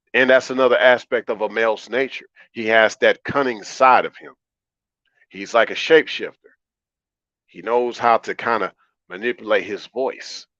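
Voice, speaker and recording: A man with a low baritone hosts a livestream in a calm, relaxed way. He talks conversationally to his chat and repeats phrases to drive them home. The sound is slightly muffled and lo-fi. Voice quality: deep baritone. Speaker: livestream host. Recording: roomy, slightly dull lo-fi audio.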